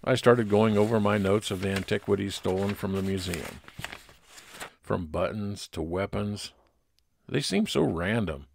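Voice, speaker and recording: A man's voice: on the low side, unhurried, gravelly, no room sound. A man playing a private investigator who narrates his case plainly, in old time radio detective style. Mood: matter-of-fact.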